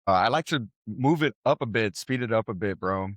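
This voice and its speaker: A young man from South Texas, a DJ guest in a barbershop, talking in a relaxed, rambling way full of "like" and "bro". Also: on the low side, fairly quick, no room sound, clean studio sound. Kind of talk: casual chat.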